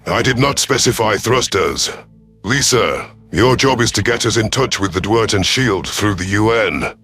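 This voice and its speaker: A man plays an alien predator chief in command of a warship. The voice is lowish, formal and authoritative, handing out orders like a seasoned military leader. Faint music sits behind this sci-fi audio story. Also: animated, moderate pace, sharp tone. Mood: stern, commanding.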